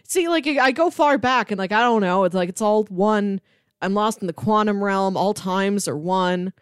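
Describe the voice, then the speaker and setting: A woman's voice: mid-range voice, moderate pace, clean tone, no room sound, clean studio sound. A woman chatting casually as a podcast co-host, talking in a loose conversational way peppered with "like".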